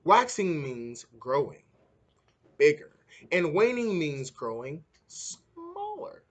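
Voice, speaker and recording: A man's voice: a little high, huge pitch swings, slow pace, slightly rough, full character voice. A man voicing an encouraging, instructive male schoolteacher in a children's storybook.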